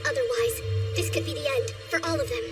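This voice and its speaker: A woman voices a keen young strategist in a war cartoon. The voice is very high, urgent and explanatory, a little distant beneath clear music. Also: fast, lightly acted. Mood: grave worry. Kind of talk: English-dubbed animated war drama acting.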